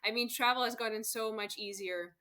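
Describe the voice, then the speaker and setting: Bright-voiced chatty woman. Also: on the high side, fairly quick. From Canada. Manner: friendly, chatty, laughs often. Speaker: guest, solo travel expert. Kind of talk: casual interview chat.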